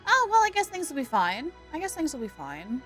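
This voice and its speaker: A woman streaming a game, chatting animatedly and thinking out loud. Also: high voice, huge pitch swings, a little fast, soft background music. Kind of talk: casual live-stream commentary.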